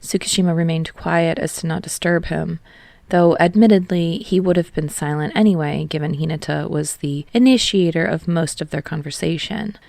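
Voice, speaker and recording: A woman's voice: low voice, normal speed, vocal fry, a little mellow, no room sound. A woman reading a story aloud as an audiobook narrator, in scripted third-person prose.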